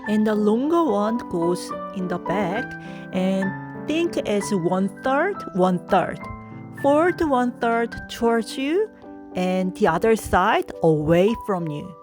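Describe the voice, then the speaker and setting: A woman's voice: mid-range voice, lively intonation, slow. A woman with a thick Korean accent, hosting a craft tutorial and giving short step-by-step directions in simple non-native English. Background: soft piano music.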